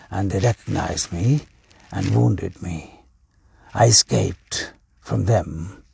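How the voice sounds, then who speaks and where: A man voicing a weak, wounded bearded man in a storybook, a penitent former enemy confessing solemnly in a low, husky voice. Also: darkish tone, no room sound, exaggerated voice.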